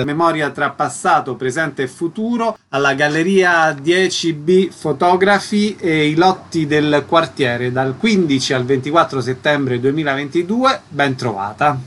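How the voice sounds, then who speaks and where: A man's voice: fairly high pitch, fairly bright tone, slight background noise. A man hosting a radio interview and introducing his guest.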